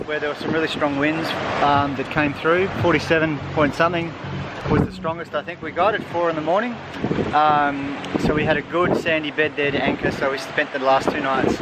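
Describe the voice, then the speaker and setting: A man in his forties filming himself on a sailboat at sea, chatting casually with a lot of ums and uhs. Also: a little high. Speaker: sailing vlogger. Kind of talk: casual vlog to camera. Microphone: camera held at arm's length. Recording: loud wind and engine noise.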